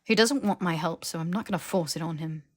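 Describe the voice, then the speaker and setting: A woman's voice: fairly low pitch, brisk, a little mellow, natural voice. A woman playing a blunt, exasperated young male wizard in a fantasy audiobook, with sarcastic, emphatic delivery. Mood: weary, grumbling. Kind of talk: character voice in fan fiction audiobook.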